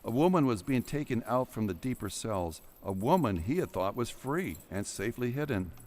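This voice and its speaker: A man's voice: mid-range voice, big pitch swings, moderate pace, a little mellow. A man reading scripted narration for an audio drama in a dramatic storytelling style.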